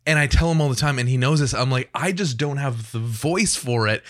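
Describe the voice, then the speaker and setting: A man's voice: medium pitch, a little fast, fairly bright tone. A man hosting a casual studio podcast conversation in a joking, self-deprecating way. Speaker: podcast host. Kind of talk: casual podcast interview chat.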